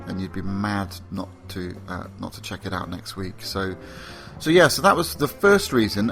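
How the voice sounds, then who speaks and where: A man hosting a podcast, talking casually and enthusiastically with lots of fillers, a bit sleepy and croaky. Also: on the low side, a bit bright. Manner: rambling, enthusiastic, frequent fillers. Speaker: podcast host.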